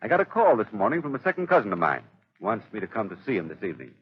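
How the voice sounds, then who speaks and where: An actor playing a friendly, hard-up, skeptical club gentleman in a 1930s radio drama. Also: fairly low pitch, huge pitch swings, racing, slightly rough, full character voice. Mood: amused, skeptical. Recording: muffled, noisy old radio recording.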